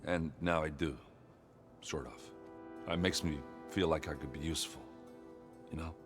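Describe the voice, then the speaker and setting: A big-hearted, gruff warrior in a cinematic game scene. His voice is very low. He talks bluntly and folksily, rambling into self-deprecating jokes with a natural, unpolished delivery. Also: fairly even intonation, measured pace, over music. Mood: sheepish, earnest. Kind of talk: naturalistic video game cutscene acting.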